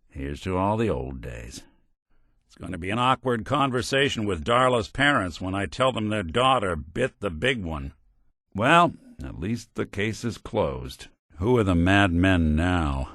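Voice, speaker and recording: A low male voice gives life to a decent, weary robot detective out of a noir video game. The delivery is wry and sardonic, with folksy tough-guy turns and lively rises and drops. The sound is very dry, with no room around it. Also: no echo, heavily acted.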